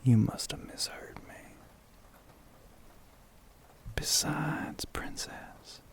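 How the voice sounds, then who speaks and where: A man voicing a flirty, sly, gentlemanly demon with a Southern US accent in an ASMR roleplay, half-whispered, breathy and husky, with a teasing drawl. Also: a little high. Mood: tender, teasing. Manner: slow, teasing drawl with pet names.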